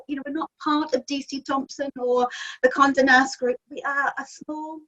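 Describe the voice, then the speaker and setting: High-voiced New woman on a call. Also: a bit bright. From New Zealand. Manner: chatty and enthusiastic, with frequent ums. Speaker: magazine editor and publisher. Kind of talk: interview answers. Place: home office. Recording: distant, dull lo-fi call audio.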